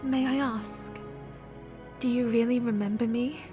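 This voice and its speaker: A woman playing a gentle, devoted young princess in a fantasy audio drama, speaking softly and earnestly. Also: on the high side, steady tone, natural voice. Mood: tender, earnest. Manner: earnest, tender, slow. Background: music.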